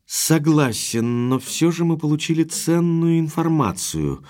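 An actor playing an earnest young gentleman heir in an audio drama, direct, courteous and inquisitive, with quiet authority. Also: on the high side, expressive, a little slow.

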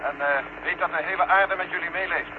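Friendly encouraging general on mission control radio, tinny n noisy, old radio drama. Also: male voice, on the high side, steady tone, fairly quick. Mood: hearty. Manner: hearty, encouraging. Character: genial, trusting male general at mission control. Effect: radio-link filter. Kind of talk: 1970 Dutch radio drama acting.

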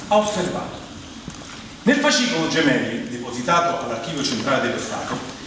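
Echoey, distant man on stage. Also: fairly high pitch. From Rome, Italy. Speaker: monologue performer. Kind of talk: humorous theatrical monologue addressed to an audience. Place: indoor theatre stage, live performance. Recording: distant, noisy, very echoey theatre hall.

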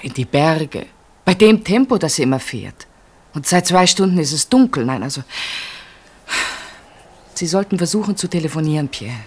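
A woman's voice: deep voice, expressive, normal speed, pauses often, darkish tone, husky. Reserved, restrained woman. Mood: worried. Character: reserved, worried woman, the husband's sister-in-law Marianne. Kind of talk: radio play acting, intimate dialogue.

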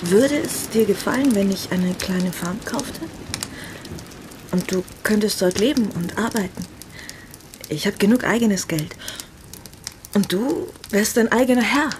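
A woman's voice: medium pitch, unhurried, long pauses, background noise, close to an everyday voice. An actress playing a yearning upper-class married woman in a radio drama, speaking in an intimate, hesitant, pleading way. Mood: tentative, hopeful.

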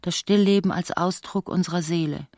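A woman narrating a literary radio play in a measured, articulate way, voicing its long speeches. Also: fairly low pitch, fairly even intonation, a little mellow, dry recording, clean studio sound, natural voice. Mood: measured narration.

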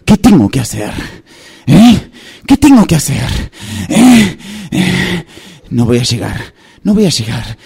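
Man acting anxious, husky Argentine parent. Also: on the high side, very animated, slow, a little mellow, exaggerated voice. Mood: anxious, panicky. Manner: fretful, sighing, repetitive. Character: anxious, breathless, overwhelmed parent stuck waiting in line. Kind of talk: improvised radio theatre monologue.

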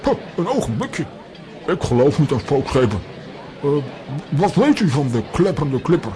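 An actor playing a bossy, self-assured comic adventurer hero, declamatory and excitable, in a children's audio play. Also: male voice, on the high side, normal speed, a faint crowd. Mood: sceptical.

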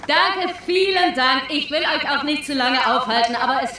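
Actress doing a lady ceo in an audio drama, high voice, polished thank-you speech, distant and very echoey. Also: normal speed, close to an everyday voice. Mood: gracious. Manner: gracious, ceremonial. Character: woman company boss giving a polished congratulatory speech. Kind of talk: formal celebratory speech. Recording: echoing, distant PA sound, faint noise.

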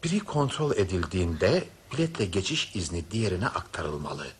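An actor playing a nervy, urgent plotter who takes charge on a train, in a radio drama. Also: male voice, deep voice, expressive, moderate pace, a little hiss or hum. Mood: thinking, scheming. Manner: urgent, explanatory.

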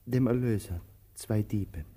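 An actor playing a ponderous, talkative male tramp in a radio drama, soft-voiced, ruminative and declamatory. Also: lowish voice, measured pace. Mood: musing, insistent. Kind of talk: theatrical radio drama acting.

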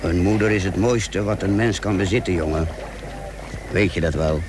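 A man playing a stern but loving father in a Dutch radio play, gruff and solemn as he admonishes. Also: low-pitched, moderate pace, lightly acted. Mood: solemn, tender. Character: stern but loving father sending his son to sea. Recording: noisy old radio play recording.

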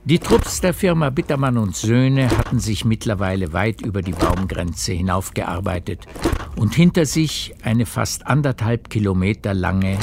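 An actor narrating a literary radio play as a calm storyteller, in a measured, precise way with a gravelly voice. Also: male voice, low voice, background noise, full character voice.

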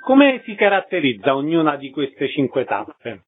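A man's voice: on the high side, huge pitch swings, unhurried, slightly rough. A man working as a radio interviewer, delivering prepared questions and announcements through a narrow, phone-like sound.

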